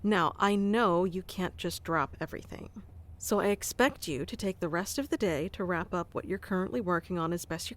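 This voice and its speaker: A woman doing a soft-spoken ASMR roleplay, teasing and commanding yet doting, close to the mic. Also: mid-range voice. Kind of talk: ASMR roleplay, playing a bossy affectionate boss.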